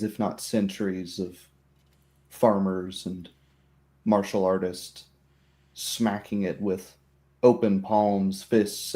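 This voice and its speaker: Mellow, low-voiced male game master. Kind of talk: narrating a tabletop roleplay.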